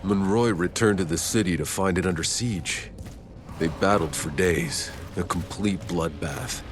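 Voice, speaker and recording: A weary male veteran tells grim things in a low voice. He speaks heavily and darkly. Loud ambient noise runs under this game scene. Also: unhurried. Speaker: game character. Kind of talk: video game cutscene acting.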